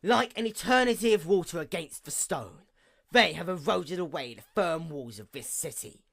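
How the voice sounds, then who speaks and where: A woman voicing a proud, wounded pony goddess of night in a theatrical villain monologue, menacing and grandiose with a gravelly creak. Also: fairly low pitch, lively intonation. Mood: brooding impatience. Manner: theatrical, menacing, grandiose, with slow drawn-out emphasis. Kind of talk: dramatic villain monologue, fan fiction reading.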